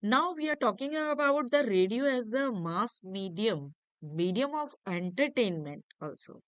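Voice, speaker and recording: A woman reading a scripted academic lecture to learners in halting, deliberate phrases. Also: fairly high pitch, expressive, clear, resonant tone, dry recording, muffled recording.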